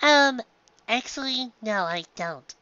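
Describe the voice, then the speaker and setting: A performer voicing a cheerful, gentle mother pony mare with a bright, exclaiming manner in a story reading. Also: medium pitch, expressive, slow, clear, resonant tone, no echo, lo-fi sound, voice pushed up. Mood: puzzled.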